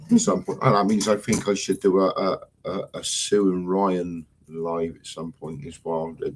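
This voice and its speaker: A low, husky man chatting on a live stream. He rambles, swears freely and keeps wandering off his point. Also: a little mellow. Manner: rambling, swears often, loses his thread. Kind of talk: casual live-stream chat.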